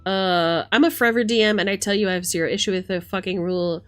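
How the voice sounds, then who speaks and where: A woman's voice: mid-range voice, steady tone, fast talker, faint music behind. A woman hosting a livestream, talking casually and emphatically with plenty of swearing as she rants and chats with commenters. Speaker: livestream host.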